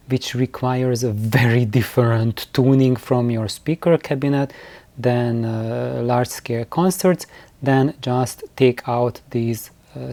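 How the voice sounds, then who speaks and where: A man with a soft-edged, mellow voice gives an informal how-to explanation in very dry, close sound. He speaks off the cuff in a halting way, repeating words and restarting phrases as he goes. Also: medium pitch, dry recording. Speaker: host of DIY loudspeaker building videos.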